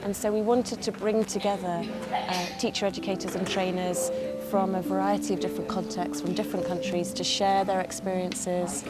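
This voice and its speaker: Woman organizer speaking over loud music. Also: mid-range voice, level tone, moderate pace. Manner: thoughtful, hesitant with fillers. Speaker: conference organizer. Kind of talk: interview.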